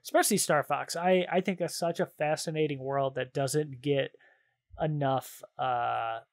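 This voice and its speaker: A man's voice: on the high side, unhurried, no echo, studio quality. A man chatting casually and enthusiastically as a podcast co-host, in informal slangy phrasing. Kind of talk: casual chat about games and films.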